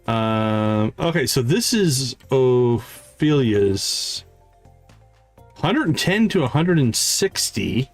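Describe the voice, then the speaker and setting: A man livestreaming a game, thinking aloud in casual commentary and reading item stats in a deliberate, musing way. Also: medium pitch, big pitch swings, no room sound, faint music behind.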